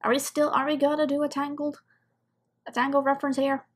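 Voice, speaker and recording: A woman's voice: high-pitched, completely flat delivery, brisk. A woman giving casual, off-the-cuff commentary as host of a reaction video.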